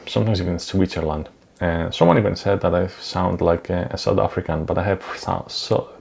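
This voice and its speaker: A man in his thirties who speaks English as a second language, talking casually to camera in a home studio on a lapel mic, unhurried and with many ums and uhs. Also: low-pitched, vocal fry, a little mellow, slightly rough. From Europe. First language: not English. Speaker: vlogger. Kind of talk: casual talking-head vlog. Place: small dim home studio, indoors. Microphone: clip-on lapel mic.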